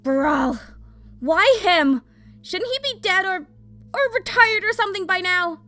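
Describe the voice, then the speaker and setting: A woman's voice: very high voice, lively intonation, a little slow, sharp tone, exaggerated voice. A woman voicing an impatient, vengeful young female fire mage in an audio drama, agitated and blunt. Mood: angry, incredulous. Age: young adult.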